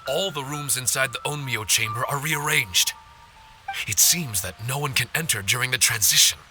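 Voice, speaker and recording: A man playing a tiny living paper spirit, earnest, formal and self-blaming, in an animated video game. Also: medium pitch, normal speed, soft background music. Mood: weary, explaining.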